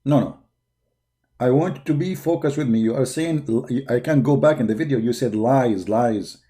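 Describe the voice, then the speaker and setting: A man's voice: mid-range voice, moderate pace, warm tone. A man hosting a live stream, mocking and arguing back at a video he plays, and laughing often. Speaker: debate host reacting to a video.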